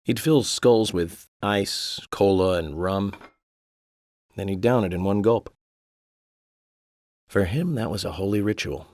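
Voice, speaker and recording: A man playing an eccentric, polite FBI agent in a video game, speaking in a measured, deadpan, blithely morbid way. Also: deep voice. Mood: matter-of-fact, morbid. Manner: deadpan, measured, matter-of-fact about grisly things. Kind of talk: video game cutscene acting.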